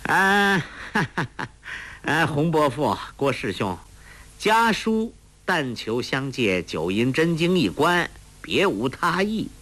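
A man's voice: a little high, very animated, takes it slowly, a bit bright, voice pushed up, exaggerated voice. A man doing a sly smug young villain. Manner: oily and falsely polite.

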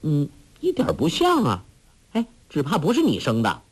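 A man's voice: a little high, huge pitch swings, normal speed, breathy edge, pitched above the performer's own voice, exaggerated voice. A man voicing a clever, bold young woman in a martial arts story, teasing and then imperious in turn. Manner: coy and playful, then imperious.

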